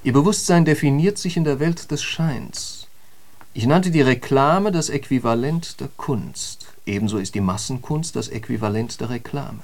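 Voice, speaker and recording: A man's voice: mid-range voice, moderate pace, creaky, darkish tone, lightly acted. Man acting eloquent, polemical intellectual, declamatory. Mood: fervent. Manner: rhetorical, declamatory, emphatic. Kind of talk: literary radio drama monologue.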